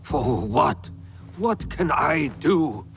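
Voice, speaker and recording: An actor playing a bitter, drunken old fallen samurai lord in a video game, self-pitying and dramatic. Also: male voice, fairly high pitch, sing-song, very slow, creaky, full character voice. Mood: despairing. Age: older. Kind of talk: video game cutscene acting. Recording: loud music behind the voice. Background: loud music.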